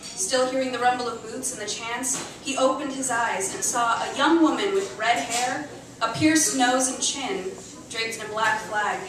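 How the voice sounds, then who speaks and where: A woman's voice: fairly high pitch, measured pace, a crowd in the background. A woman reading a short story aloud dramatically and expressively at a live literary event. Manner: expressive, acting out chants and dialogue. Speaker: reader at a live literary show. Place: indoor cafe venue reading before an audience. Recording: echoey live venue, distant mic, faint noise.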